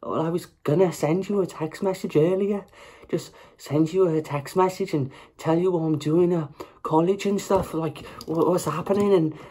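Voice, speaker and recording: An actor performs a grieving, literal-minded young person in a stage monologue. The voice is a little husky, and the phrasing is halting, earnest and repetitive. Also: medium pitch, steady tone, warm tone, lightly acted. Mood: wistful, hesitant.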